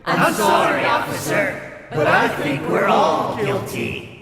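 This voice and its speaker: A man's voice: sits high, huge pitch swings, slow pace, creaky, heavily acted. An actor playing a wild, guilt-ridden man in an audio horror drama. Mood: frantic, apologetic. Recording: echoing long reverb under loud music.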